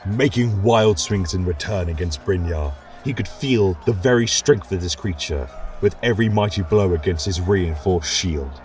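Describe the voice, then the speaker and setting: A man reading a fantasy story aloud as a narrator, in a measured, dramatic way. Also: deep voice, a little mellow, soft background music.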